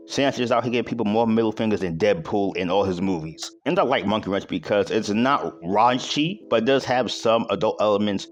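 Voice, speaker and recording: Low-voiced man, emphatic video essayist. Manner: informal, slangy, emphatic delivery. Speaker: host. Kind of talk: enthusiastic video essay commentary.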